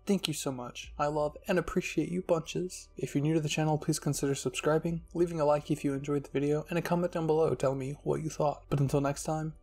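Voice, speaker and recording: Casting: male ASMR story reader, soft scripted narration, creaky and husky, over faint music. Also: fairly high pitch, fairly even intonation, fast talker, few pauses. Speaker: fan fiction reader and channel host.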